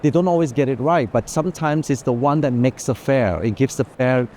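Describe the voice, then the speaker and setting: A man with a medium-pitched, mellow voice shares his views as a collector. He is earnest and conversational, restating phrases to stress them and checking that he is followed. There is slight background noise from a fair. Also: fairly quick. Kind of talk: thoughtful spontaneous discussion. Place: indoor art fair, walking among stands. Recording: faint busy fair hall noise.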